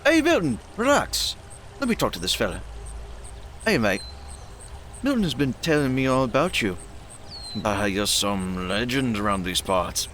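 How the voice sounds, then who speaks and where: A man acts a brash, hard-drinking prizefighter with a short temper. His pitch swings wildly as he swaggers and talks matey in an audio drama. The recording has background noise. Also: very animated, moderate pace, long pauses, a bit bright. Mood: cocky, matey. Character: cocky, hot-tempered, hard-drinking male champion fighter.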